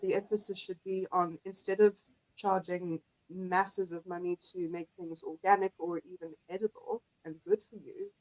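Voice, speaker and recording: South African woman, expert guest, articulate and measured explainer, slightly low-pitched, narrow intonation. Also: darkish tone, no room sound. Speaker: guest expert, naturopathic herbalist. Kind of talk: explanatory conversational interview talk.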